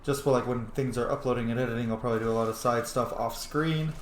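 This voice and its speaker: A man's voice: mid-range voice, steady tone, quick pace. A man giving casual, playful let's play commentary, muttering informally to himself as he goes, in an echoey room. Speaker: gaming video host. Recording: echoey room with faint noise.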